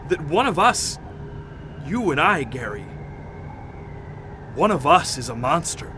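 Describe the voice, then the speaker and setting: An actor in a radio drama playing a distraught expedition doctor who is coming unhinged with fear, halting and repeating himself. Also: male voice, fairly high pitch, big pitch swings, over music. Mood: stunned dread. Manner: halting, repeating words, laughing hysterically.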